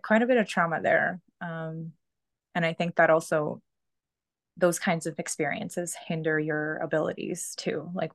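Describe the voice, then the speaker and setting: Woman, 30s, deep voice, vocal fry, casual rambling chat. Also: no echo, clean studio sound. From Canada. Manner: loose and chatty, full of "like" and "you know". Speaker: podcast guest. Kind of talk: casual conversational storytelling.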